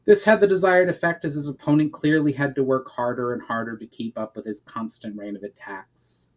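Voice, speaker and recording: A man's voice: medium pitch, fairly even intonation, fairly quick, low-quality recording. A man giving an earnest dramatic reading of a fantasy story, phrase by phrase, letting his line endings fall. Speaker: author reading own story chapter aloud.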